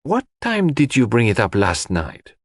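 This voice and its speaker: A man voicing a calm, courteous detective who questions people precisely in an audiobook mystery. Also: mid-range voice, unhurried, dry recording, studio quality. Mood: calm, probing.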